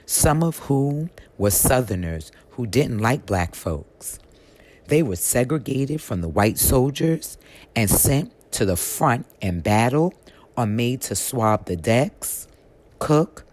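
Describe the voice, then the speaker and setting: A woman reading aloud as a folksy, plainspoken grandmother narrator of a history storybook, in a measured storytelling manner. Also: a bass voice, a little mellow, heavily acted. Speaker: reader of a children's history book. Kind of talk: scripted storybook read-aloud in first person.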